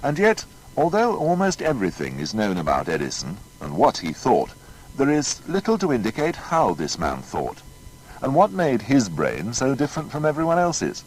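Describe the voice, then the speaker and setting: A man's voice: medium pitch, huge pitch swings, moderate pace, low-quality recording. A man narrating a scripted biography in a formal, emphatic storytelling manner. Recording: faint background noise.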